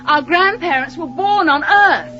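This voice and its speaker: A woman playing a headstrong, excitable young space traveller in a science fiction radio drama, with emphatic, expressive delivery. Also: extremely high pitch, measured pace, full character voice. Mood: indignant, insistent. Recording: dull radio recording under loud music.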